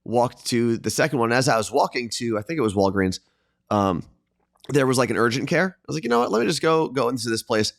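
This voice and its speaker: A man's voice: mid-range voice, animated, fast, vocal fry, no room sound. Laid-back male co-host, easy hesitant chat, dry close sound. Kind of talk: casual chat. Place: staying in Florida.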